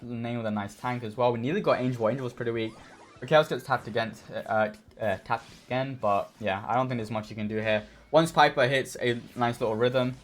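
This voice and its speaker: A man with a hoarse, worn voice casually commenting on his own match, easygoing and rambling with many fillers. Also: mid-range voice. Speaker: esports player reviewing his own match.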